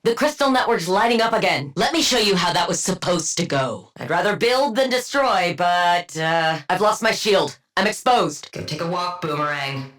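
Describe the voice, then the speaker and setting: A woman's voice: on the low side, lively intonation, moderate pace, fairly bright tone. An actress voicing a brainy, exacting woman scientist supervillain for a video game, precise and nerdy, with a dry sardonic edge. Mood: low-key, wry. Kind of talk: video game combat barks and banter.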